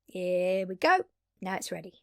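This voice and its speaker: A woman playing a brash, excitable undead vampire in an audio drama, talking casually and expressively straight to the listener with salty language and dramatic pauses. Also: lowish voice, slight background noise. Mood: casual, upbeat. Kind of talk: intimate audio drama roleplay addressed to listener.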